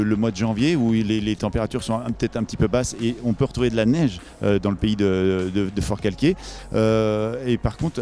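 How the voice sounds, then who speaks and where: A man with a lowish, very mellow voice hosts a promotional interview. He improvises in a halting way, searching for words, over faint background music. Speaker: interviewer, event presenter.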